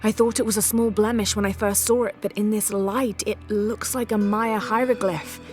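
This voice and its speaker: An actress playing a determined, inquisitive young female archaeologist in a measured, scholarly way for a video game cutscene. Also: mid-range voice, fairly even intonation, a little mellow, natural voice. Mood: thoughtful. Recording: music under the voice.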